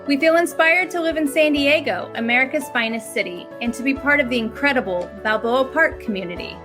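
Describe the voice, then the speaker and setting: A woman's voice: high voice, brisk. A woman delivering a scripted address to viewers as the head of an organization. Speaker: ballet school president and CEO. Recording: prominent music under the voice. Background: music.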